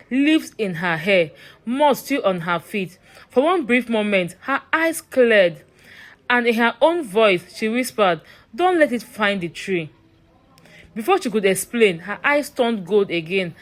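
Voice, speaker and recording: A woman narrating a scripted horror story in a dramatic, suspenseful manner. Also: on the high side.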